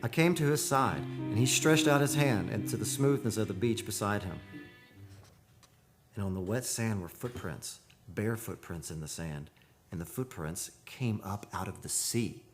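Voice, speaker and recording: A man playing a wistful, dreamy photographer narrating an eerie seaside tale in a measured, poetic radio drama style. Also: lowish voice, over music, lightly acted. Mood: hushed, eerie. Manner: measured, poetic, solemn delivery. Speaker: actor, narrator.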